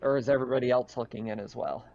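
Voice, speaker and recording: A man's voice: mid-range voice, steady tone, racing, vocal fry, a little mellow. A man running a tabletop horror roleplaying game as game master, moving between improvised scene narration and casual questions to players. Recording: dull, lo-fi online game audio.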